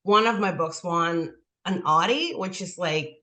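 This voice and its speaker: A woman's voice: on the low side, expressive, measured pace, sharp tone. A woman in her forties from the Republic, answering interview questions in a chatty way full of "like" fillers. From Dominican Republic. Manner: chatty, heavy use of "like" fillers. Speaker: guest author.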